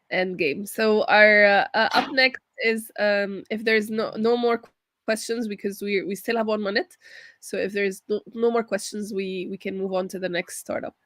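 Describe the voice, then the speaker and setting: A woman hosts a live online event, speaking unscripted. Her sound is dry and close, with very little room on it. Her pitch sits in the middle for a woman. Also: a bit bright, no echo. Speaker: host of an online startup pitch competition. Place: online video call.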